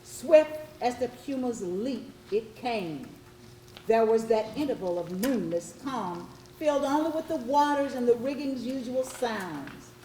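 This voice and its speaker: Woman as an aggrieved, haughty slaver, slow-spoken. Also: a little high, animated, mellow. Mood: bitter, shaken. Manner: formal, measured storytelling. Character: aggrieved, haughty Spanish slaver testifying about a mutiny. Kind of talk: dramatic monologue in readers theatre. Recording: echoey room with faint noise.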